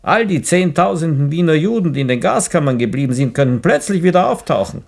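An actor playing a grave, disillusioned man in a radio play, speaking in a measured, brooding, sardonic manner. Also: fairly high pitch, sing-song, vocal fry, darkish tone, heavily acted. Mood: grave, bitter. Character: grave, disillusioned believer, bitter moral accuser. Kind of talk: German radio play acting.

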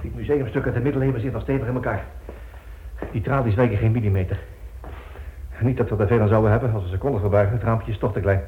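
A man's voice: fairly low pitch, fairly even intonation, quick pace, natural voice. An actor playing a resourceful male captive in a radio drama, giving clipped, urgent orders with coolly menacing politeness. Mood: tense, reassuring. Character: resourceful male captive, commanding, coolly threatening his jailer. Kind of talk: Dutch radio drama acting. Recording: muffled, noisy old radio play tape.

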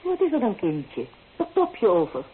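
A woman's voice: medium pitch, wildly swooping pitch, moderate pace, narrow, tinny audio. An actress playing a practical, anxious middle-aged mother in a Dutch radio drama, genteel, soothing and admonishing. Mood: concerned. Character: practical, anxious, proper mother of a bride, conventional. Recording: hissy, band-limited old radio recording.

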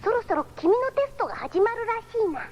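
A woman playing a calm, knowing cyborg boy in a 1970s Japanese radio drama. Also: extremely high pitch, fast talker. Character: calm, precocious telepathic cyborg boy, small child. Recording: dull old radio recording, music behind.

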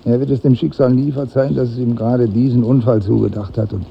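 An actor playing a cynical, gossipy small-town newspaper editor in a 1950s radio drama, chatty and wry, with a gravelly voice. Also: male voice, mid-range voice, steady tone, fast talker, close to an everyday voice. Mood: wry, scornful. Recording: dull old radio recording, slight noise.

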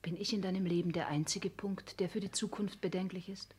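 An actress playing a proud, wounded, ironic lover in a 1960s German radio play, with a breathy half-whisper and measured, pointed, formal delivery. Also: female voice, fairly low pitch, fairly even intonation, darkish tone, slight background noise, close to an everyday voice.

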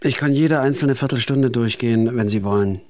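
A man's voice: mid-range voice, fairly quick, loud music behind the voice, close to an everyday voice. An actor playing a wry, nervous hitman who narrates his own story dryly in a radio drama. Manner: dry, understated first-person narration.

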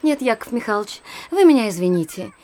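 Higher-voiced earnest society lady. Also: big pitch swings, quick pace, darkish tone. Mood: earnest, insistent. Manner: earnest, probing. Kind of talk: Russian radio drama acting.

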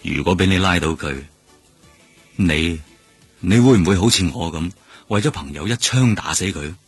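An actor playing a seasoned, wry police detective in a radio drama, speaking blunt, slangy Cantonese with a Hong Kong accent. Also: male voice, sits low, animated, normal speed. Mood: grave, cautioning. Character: seasoned male police detective, world-weary, wry, protective mentor. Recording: faint music bed underneath. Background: faint music.

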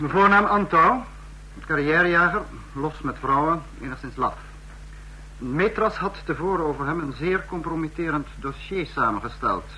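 Bright, commanding fascist leader. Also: male voice, fairly high pitch. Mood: stern, curt. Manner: formal, authoritative, clipped. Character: brisk, commanding dictator of a fascist state. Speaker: radio drama actor. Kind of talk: mid-century radio play acting. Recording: noisy, humming old radio recording.

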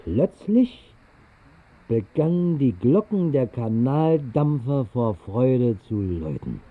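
An actor playing a tipsy, whimsical night wanderer in a 1960s radio drama monologue, speaking in a halting, theatrical, mock-pompous way. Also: male voice, mid-range voice, breathy edge, full character voice. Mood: excited, whimsical. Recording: muffled narrow-band 1960s radio recording.

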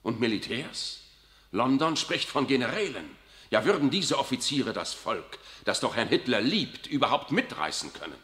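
A man's voice: mid-range voice, very animated, moderate pace, a bit bright. An actor playing a worldly older cardinal in a 1960s German radio drama, unctuous and evasive, forever tagging "isn't it" onto his sentences. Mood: sly. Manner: unctuous, keeps asking "nicht wahr".

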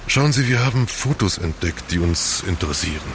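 An actor in a German radio crime drama playing a businesslike police sergeant with a clipped, official, direct way of talking. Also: male voice, sits low, lively intonation, a little fast. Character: businesslike male police sergeant conducting an inquiry. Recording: clear background noise, vehicle ambience.

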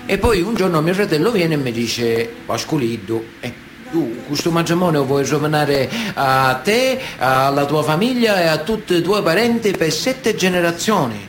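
A man's voice: fairly high pitch, expressive, normal speed, slight background noise, close to an everyday voice. A man acting a Sicilian mafia man of honour in a radio theatre monologue, earnest and matter-of-fact, with a Sicilian accent. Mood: pained, pleading.

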